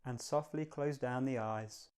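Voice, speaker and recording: A man leading a guided meditation in a calm, gentle, measured manner, giving slow, soothing instructions. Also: mid-range voice, clean tone.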